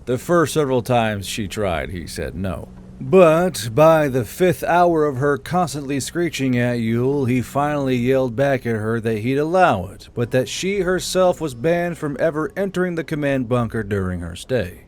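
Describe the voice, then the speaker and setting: A male narrator and channel host with a mid-pitched voice. He delivers fantasy fiction in the manner of an audiobook reader. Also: animated, moderate pace, a little mellow.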